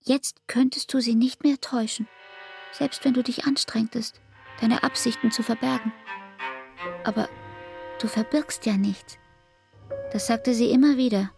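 An actress playing a hurt young barmaid in a radio play, speaking intimately and deliberately in a breathy, hushed voice. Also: female voice, fairly high pitch, steady tone, soft background music. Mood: hurt, restrained. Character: Frieda, hurt young barmaid reproaching her lover. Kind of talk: radio play acting, literary monologue.

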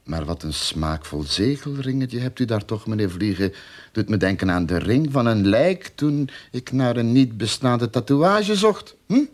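An actor playing a sly, greedy detective in a radio drama, with a mocking, theatrical, mock-polite manner. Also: male voice, mid-range voice, lively intonation, a little slow, close to an everyday voice. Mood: smug, insinuating.

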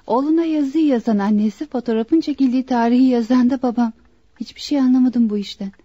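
Actress as high-voiced, bewildered young woman. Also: quick pace, warm tone, no echo, close to an everyday voice. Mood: puzzled. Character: bewildered, earnest young woman suspected of murder. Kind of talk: radio theatre acting. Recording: muffled, dull, dry radio-play recording.

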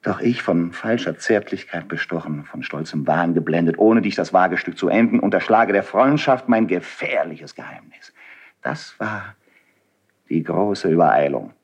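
A noble, self-sacrificing confidant in classical radio verse drama. His voice is low and rough-edged, with wide melodic swings. He declaims with fervent emotion, then drops to hushed confession. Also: animated, normal speed, full character voice. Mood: grave confession.

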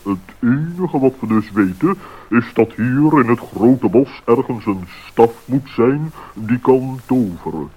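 An actor playing a solemn, self-important old owl in a radio play, with a husky voice. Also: male voice, mid-range voice, lively intonation, slow pace. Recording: dull lo-fi radio play recording.

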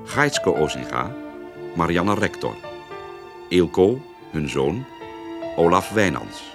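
Male announcer, low voice, unhurried formal delivery, lively intonation, scripted credits, music behind. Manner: measured, formal. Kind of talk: scripted radio credits reading.

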